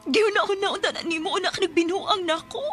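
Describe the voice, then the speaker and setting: A woman's voice: extremely high pitch, brisk, fairly bright tone, faint music behind. A woman playing a betrayed, anguished wife resolved to leave, in an intense Bisaya radio drama, with a Cebuano accent. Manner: emotional, intense.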